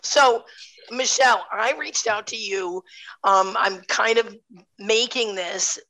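A woman with laryngitis hosting a podcast, talking in a raspy, hoarse voice and laughing often, recorded over a video call. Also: medium pitch, slow pace, sharp tone, poor audio. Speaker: podcast host. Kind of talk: casual chat.

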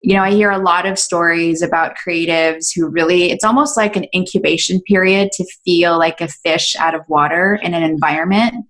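A woman's voice: fairly low pitch, fairly even intonation, normal speed, few pauses, fairly bright tone, poor audio. A woman hosting a podcast, asking her guest questions in a friendly, conversational way. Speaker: podcast host. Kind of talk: interview conversation.

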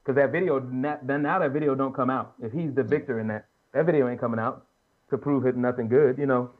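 Fast-talking man in his late thirties, muffled audio. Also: medium pitch, fairly even intonation. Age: late 30s. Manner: earnest, emphatic, colloquial, repeats and rephrases as he argues. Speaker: podcast panelist. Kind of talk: casual opinionated panel talk.

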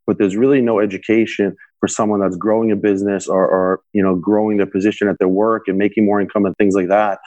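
A man's voice: on the low side, a little mellow, no echo. A young man, a guest on an interview podcast, earnestly giving money advice and filling his speech with "you know". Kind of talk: conversational interview answers.

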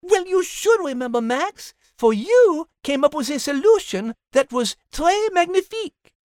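Elegant French female character host for a story podcast, high, animated, gently teasing. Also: unhurried, dry recording. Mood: poised, teasing. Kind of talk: scripted podcast character hosting.

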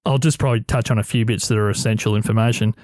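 A man's voice: fairly low pitch, steady tone, rapid-fire, a little mellow, no echo. A young Australian man hosting a podcast interview, friendly and a bit rambling, speaking in a radio studio. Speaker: podcast host. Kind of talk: interview chat. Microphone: studio mic.

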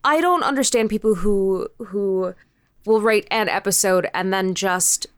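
A woman's voice: medium pitch, measured pace, dry recording. A woman speaking casually and frankly as a guest creator in a podcast interview.

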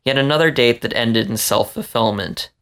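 A man with a creaky voice reading a story aloud as scripted narration. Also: mid-range voice, moderate pace, fairly bright tone. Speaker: story reader.

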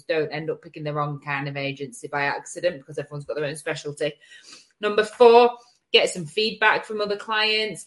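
A woman's voice: lowish voice, fairly bright tone. A woman hosting a marketing show as a casual chat, talking in an informal, wry way. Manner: informal, wry, with mild swearing. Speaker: host of a marketing agency live show.

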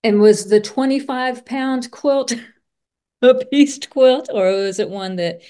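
A woman's voice: a little high, slow, dark tone, clear, resonant tone. A woman hosting a conversational podcast interview, asking thoughtful, meandering questions.

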